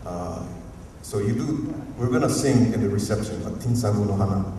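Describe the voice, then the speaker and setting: A university professor from Hawaii with a Hawaiian accent, giving a rambling, informal, unscripted talk at a community forum and often chuckling. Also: male voice, fairly low pitch, normal speed. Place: museum auditorium. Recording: echoey hall, distant mic, faint noise.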